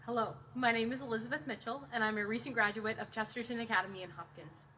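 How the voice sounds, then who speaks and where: A woman's voice: on the high side, fast, bright, forceful tone, a little hiss or hum. A teenage girl giving an enthusiastic, articulate self-introduction.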